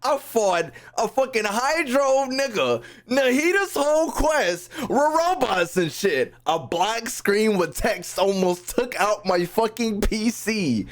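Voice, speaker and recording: A young man streaming a game with animated, slangy, profane casual commentary, often repeating his phrases. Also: sits high, slow, few pauses. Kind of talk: casual live gaming commentary.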